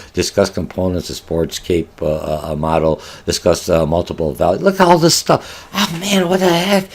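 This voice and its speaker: An older man with a very low, deep voice walks through a marketing course outline in an online lecture. Polish is his first language, and he talks in a rambling way, full of filler sounds, restarts and questions he asks the class. He is recorded on a webcam in a home office. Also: a little mellow. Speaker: college marketing instructor. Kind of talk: online lecture over slides.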